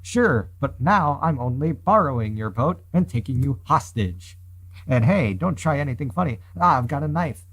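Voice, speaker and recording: A man's voice: medium pitch, expressive, moderate pace, a bit bright, rough recording. A man reading the part of a brash, threatening young female boat thief in a visual novel. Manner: cocky, menacing.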